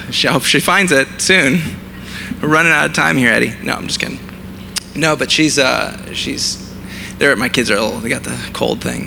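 A man, preaching a sermon with a raspy, hoarse voice as he loses it, mixing jokes about himself into his message. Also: medium pitch, background noise. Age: 30s. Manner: self-deprecating humor, conversational preaching. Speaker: pastor.

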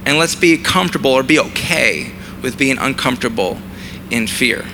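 A man, preaching a sermon with a raspy, hoarse voice as he loses it, mixing jokes about himself into his message. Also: medium pitch, animated, moderate pace, background noise. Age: 30s. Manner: self-deprecating humor, conversational preaching. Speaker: pastor.

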